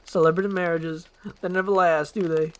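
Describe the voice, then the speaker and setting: A performer voicing a brash, excitable, wisecracking male donkey sidekick from a cartoon. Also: medium pitch, brisk, natural voice. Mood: wry.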